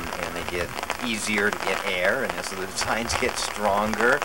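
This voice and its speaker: A middle-aged man talking casually in an interview as a craftsman who shapes kayaks. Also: sits low. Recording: noisy camcorder audio, distant mic.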